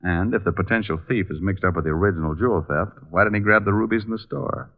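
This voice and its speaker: A man's voice: lowish voice, fast talker, gravelly. An actor playing a cool, wry male private detective in an old-time radio drama, breezy and probing. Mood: thoughtful, probing. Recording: muffled vintage radio recording.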